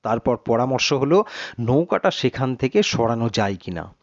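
A man reading a suspense story in a measured, literary, dramatic narration. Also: mid-range voice, very animated, no echo, dull audio.

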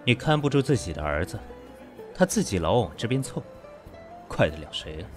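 Man acting a low-voiced, coolly sarcastic businessman. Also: very animated, a little fast. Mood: polite but defiant. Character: composed, proud businessman in his thirties, coolly sarcastic. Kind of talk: Chinese audio drama acting. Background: background music.

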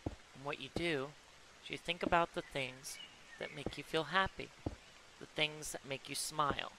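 A man's voice: on the high side, steady tone, moderate pace, background noise. A man in casual stream chat, speaking in a reflective, encouraging way.

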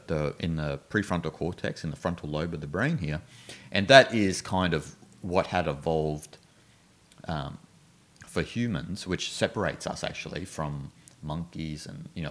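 Deep-voiced man explaining on a podcast. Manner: animated, gestures with hands, many fillers. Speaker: podcast host. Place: small studio with painted backdrop. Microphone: desk mic on stand.

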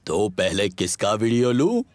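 A man's voice: fairly low pitch, very animated, normal speed, a little mellow, no echo, clean studio sound. A man voicing an easygoing, slightly bumbling father pig in a children's cartoon dub. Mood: genial.

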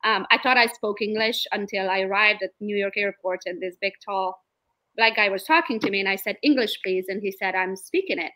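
A woman in her late thirties from Romania with a Romanian accent, a guest on a casual video-call interview, telling her story in a lively way and laughing often. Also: mid-range voice, fairly quick, poor audio. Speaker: guest realtor. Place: home room, indoors. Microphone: laptop webcam on video call.

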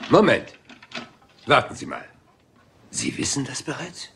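An actor dubbing a rumpled, polite middle-aged police inspector who keeps asking questions. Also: male voice, mid-range voice, sing-song, a little slow, vocal fry, a bit bright, heavily acted. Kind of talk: German-dubbed crime drama.

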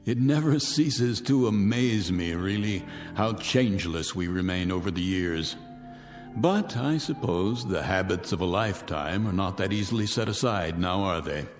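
A man's voice: deep voice, lively intonation, normal speed. A mocking vengeful man. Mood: taunting. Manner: mocking, theatrical, silky menace. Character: bitter vengeful man, former disgraced soldier. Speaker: host of the gathering. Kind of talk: radio drama acting. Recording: reverberant radio drama with music.

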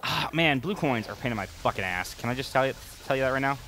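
A man's voice: medium pitch, animated, fast, sharp tone. A man doing casual gaming commentary, joking, teasing and swearing freely. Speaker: let's play host.

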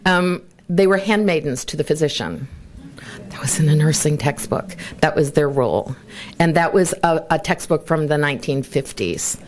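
A woman in her sixties speaks from a podium in a large, bright hall, her voice sitting a little low. She sets the scene for a conference in a chatty, self-deprecating way, with asides to the audience. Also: expressive, moderate pace. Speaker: conference organizer and presenter. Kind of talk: conference talk. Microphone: lapel mic, then handheld mic.

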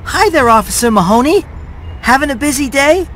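A high, youthful boy mouse, chipper and eager to help in a video game cutscene. He is polite and earnest, over a faint bed of street noise. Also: lively intonation, a little fast.

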